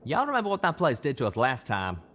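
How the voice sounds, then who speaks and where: A man's voice: medium pitch, huge pitch swings, quick pace, a bit bright, pitched above the performer's own voice. A man voicing a blunt, loyal male pegasus pony with a folksy drawl. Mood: reminding. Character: male cowboy-like pegasus pony, plain-spoken loyal friend.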